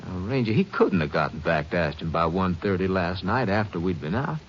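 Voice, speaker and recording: A man playing a formal, guarded attorney in a 1950s radio drama, measured and hesitant. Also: deep voice, expressive. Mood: reluctant. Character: guarded, formal male attorney, reluctant to talk. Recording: muffled old radio recording, faint noise.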